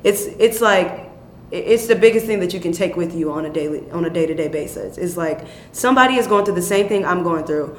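A young woman from Chicago, an actress, talking animatedly and fast in an interview, often laughing as she tells stories. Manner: animated, quick, laughing storyteller. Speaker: stage actress. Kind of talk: interview conversation. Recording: echoey room with faint rumble.

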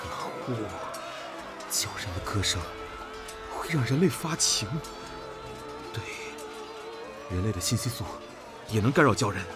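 A man's voice: fairly low pitch, animated, unhurried, pauses often, music in the background. A man playing a proud, brooding young military officer in an intense, dramatic radio drama style. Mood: alarmed, furious. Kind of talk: Chinese radio drama acting.

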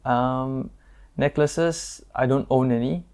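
A young man with a Singaporean English accent and a naturally deep voice, chatting casually as a guest, pausing often with fillers and restarts. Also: fairly even intonation, darkish tone, clean tone. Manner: hesitant, many fillers and restarts. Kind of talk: casual chat.

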